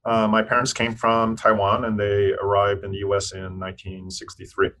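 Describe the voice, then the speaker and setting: A man in his fifties with a very deep voice, a guest on an interview. He answers in a thoughtful, measured way, often pausing and restarting his sentences. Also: completely flat delivery, fairly bright tone, poor audio. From United States (Eastern Tennessee). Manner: thoughtful, with frequent restarts and fillers. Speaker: physician and scientist guest. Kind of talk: interview conversation.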